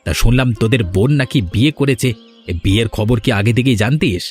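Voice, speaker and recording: A man's voice: medium pitch, fast, slightly rough, lightly acted. A domineering village elder brother from a fairy-tale cartoon, played by a man, with a resentful edge. He speaks his dramatic dialogue in a mid-range male voice over background music. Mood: sarcastic, bitter.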